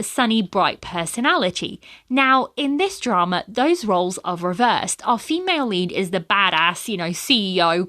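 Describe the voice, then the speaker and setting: Woman with a cold doing a solo podcast, rambly and chatty, portuguese is her first language, mid-pitch voice. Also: a bit bright. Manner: chatty and rambling, frequent fillers. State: has a cold, sniffly. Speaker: podcast host. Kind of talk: casual solo podcast chat.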